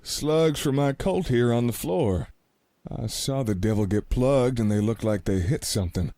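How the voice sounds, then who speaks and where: An actor playing a blunt, brave cowboy gunslinger in a radio play, with folksy frontier phrasing. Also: male voice, medium pitch, moderate pace, mellow, dry recording, lightly acted. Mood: matter-of-fact. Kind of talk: radio play dramatic acting.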